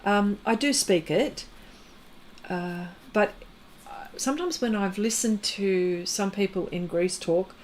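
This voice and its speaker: A woman's voice: mid-range voice, slow pace, a little hiss or hum. Chatty woman art streamer. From Australia. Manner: chatty, rambling, laughs often, reads out viewer comments. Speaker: art livestream host. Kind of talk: casual chat while demonstrating pastel art.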